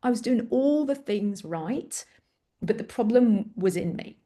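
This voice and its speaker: Woman, middle-aged, English accent, from Greece; interview guest, candid, animated, self-deprecating storyteller. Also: a little high, unhurried. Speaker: parent coach guest. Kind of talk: conversational interview.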